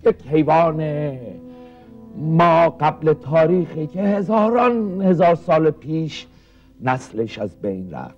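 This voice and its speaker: Eccentric old intellectual, emphatic TV play delivery, highish pitch, dull lo-fi sound. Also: male voice, animated. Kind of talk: televised stage drama acting. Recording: dull old TV audio, faint music.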